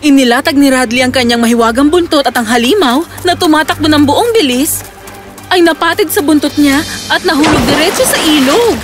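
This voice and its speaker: A woman with a high voice narrates a children's fairy tale. It is scripted storytelling, with soft music running underneath. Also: a little fast.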